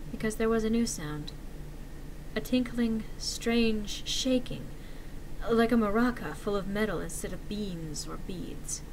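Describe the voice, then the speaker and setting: A woman voicing a frightened young man who recounts a horror encounter in a theatrical, deliberate dramatic reading. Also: medium pitch, a little mellow, a little hiss or hum, natural voice, poor audio. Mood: dread, horror.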